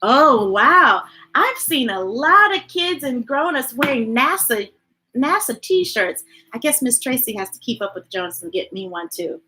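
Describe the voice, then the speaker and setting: A woman's voice: fairly high pitch, very animated, a little slow, sharp tone, breathy edge. A woman hosting children's storytime, reading picture books aloud and chatting informally with viewers in an enthusiastic, folksy way. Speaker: children's storytime host and reader.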